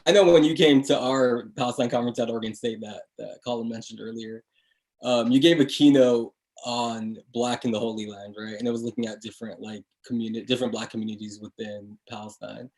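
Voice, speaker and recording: A man's voice: medium pitch, fairly even intonation, fairly quick, darkish tone, low-quality recording. A young man hosting a casual interview, introducing the guest and asking loose, rambling questions with lots of fillers. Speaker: interview host.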